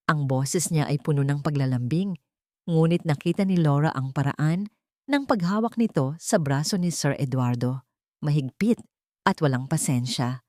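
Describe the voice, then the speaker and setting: A woman narrates a dramatic audio story in a low voice. Her delivery is measured and deliberate, like scripted storytelling. The sound is dry, with little room around it. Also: warm tone, no room sound.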